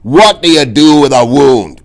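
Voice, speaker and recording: Man doing an old fencing master, loud and scolding, pitched up. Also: expressive, unhurried. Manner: yelling, scolding. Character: scornful old male fencing teacher, hot-tempered.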